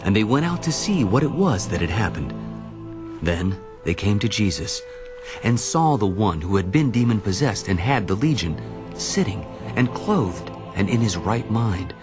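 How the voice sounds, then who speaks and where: A man narrating a dramatized audio Bible in a solemn, deliberate storytelling manner. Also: on the low side, very animated, over music.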